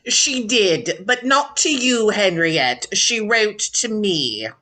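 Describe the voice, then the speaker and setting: A woman's voice: mid-range voice, expressive, slow pace, bright, forceful tone. A woman playing an imperious, ailing elderly baroness in a radio drama, speaking in a grand, commanding, formal way. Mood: proud, welcoming.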